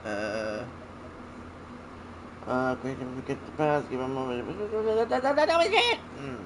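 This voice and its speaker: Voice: medium pitch, sing-song, drawn-out words, background noise. A gamer giving casual gameplay commentary, with exclamatory, muttered reactions and some swearing. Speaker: gamer reacting while playing.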